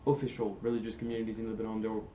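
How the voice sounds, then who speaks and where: A young man and student explaining his background in a thoughtful, halting way with many pauses. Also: fairly low pitch, completely flat delivery, mellow, slight background noise, low-quality recording. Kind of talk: casual testimonial.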